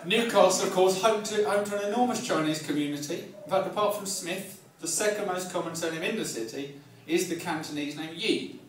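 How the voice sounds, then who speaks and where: A man in his forties with a southern English accent, doing live stand-up comedy in a wry, self-deprecating way with halting, repeated phrases. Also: high-pitched. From Kent, England. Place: live comedy night in a pub venue. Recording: echoey club, distant mic, audience noise. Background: audience laughter.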